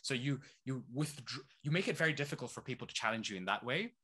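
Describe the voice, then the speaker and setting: A man's voice: medium pitch, lively intonation, quick pace, bright, forceful tone, slightly rough. A man who grew up between Saudi Arabia and East London, talking animatedly as a guest, firing off rhetorical questions. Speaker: activist guest. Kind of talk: conversational interview.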